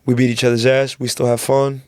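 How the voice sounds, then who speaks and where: A man's voice: mid-range voice, flat delivery, fairly quick, smooth, no room sound. Rambling man, dry sound. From San Antonio, Texas. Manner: rambling, slangy, lots of "bro" and "like". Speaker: guest striking coach. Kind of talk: casual podcast chat. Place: podcast studio.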